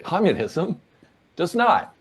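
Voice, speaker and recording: A man from Oregon answering interview questions as a guest, in an earnest, measured way. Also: sits high, breathy edge. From Portland, Oregon, USA.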